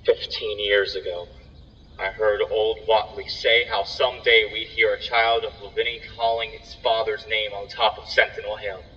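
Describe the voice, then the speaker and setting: Guy as a sombre villager, lowish even voice, ominous storytelling, radio play. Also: normal speed, lightly acted. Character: sombre villager recalling an ominous old prophecy. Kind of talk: theatrical radio drama acting. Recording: muffled, echoing, music behind.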